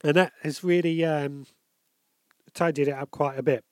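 A man's voice: on the high side, steady tone, normal speed, no room sound, clean studio sound. A man with a bunged-up cold, hosting a casual solo podcast and rambling with lots of ums and self-corrections. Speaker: podcast host.

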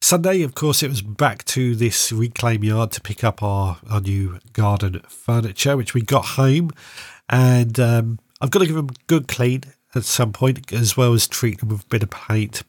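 A middle-aged man hosting his podcast, stuffed up from a cold and chatting in a relaxed way with frequent ums. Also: medium pitch, moderate pace, no echo, clean studio sound. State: congested from a cold. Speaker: podcast host. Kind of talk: solo casual update.

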